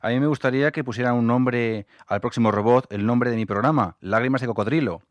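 A man from hosting a radio interview, asking questions in a relaxed, teasing way. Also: mid-range voice, a little fast, no echo, lo-fi sound. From Europe. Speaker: radio host.

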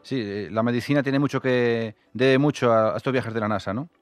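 A man from hosting a radio interview, asking questions in a relaxed, teasing way. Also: medium pitch, fairly quick, soft background music. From Europe. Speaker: radio host.

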